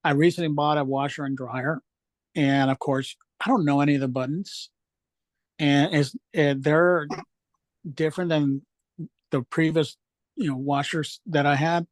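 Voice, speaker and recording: Higher-pitched man sharing app experiences. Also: steady tone, a little slow, no room sound. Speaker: speaker presenting travel apps. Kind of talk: informal discussion at an online event.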